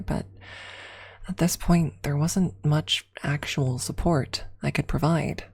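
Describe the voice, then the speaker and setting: Low gravelly lady reading out a story, asmr storytelling, lots of vocal fry. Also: mellow. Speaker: streamer and story reader. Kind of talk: scripted narration, ASMR storytelling.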